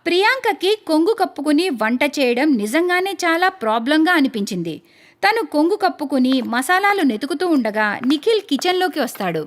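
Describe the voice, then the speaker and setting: A woman narrating a cartoon moral story in a lively storytelling manner. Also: sits high, a little fast.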